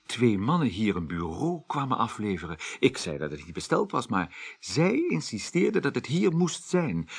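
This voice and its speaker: Husky, pious, formal official. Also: male voice, on the low side, heavily acted. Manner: formal, deliberate, hesitant phrasing. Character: male political party official, pious, formal, hesitant witness. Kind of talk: radio drama acting.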